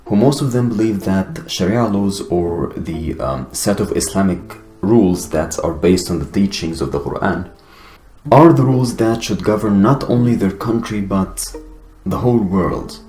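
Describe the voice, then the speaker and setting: Young adult male, Arabic accent, low-pitched, deliberate vlogger delivery, roomy sound, faint guitar bed. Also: animated, darkish tone. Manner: earnest, deliberate, with pauses and fillers. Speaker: YouTuber. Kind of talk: personal video monologue. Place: Finland. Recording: echoey room with guitar music behind.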